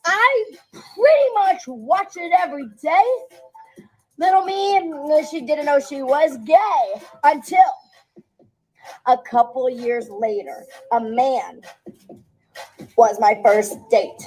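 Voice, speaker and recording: High-pitched young woman over soft music. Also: expressive, slow pace, long pauses, rough recording. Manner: chatty, confessional storytelling. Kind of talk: personal story clip.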